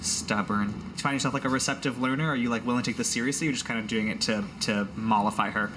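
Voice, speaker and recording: A man's voice: mid-range voice, fairly even intonation, fast, background noise. A man narrating a tabletop game as game master, casual and wry in how he talks.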